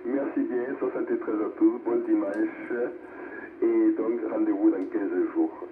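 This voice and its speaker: A male amateur radio operator talks casually in a fairly low voice. The radio transmission is very thin, with echo. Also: steady tone, measured pace. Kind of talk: casual chat over radio. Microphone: heard through a radio receiver speaker. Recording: narrowband radio, echoing, slightly noisy.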